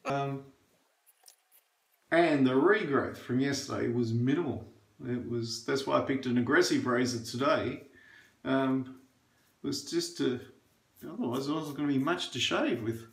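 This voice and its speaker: A man's voice: medium pitch, a little slow, gravelly, pauses often. A middle-aged man chatting casually while he shaves, opinionated and rambling with plenty of fillers. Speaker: shaving reviewer. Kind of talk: casual chat while shaving. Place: small bathroom indoors. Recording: echoey bathroom.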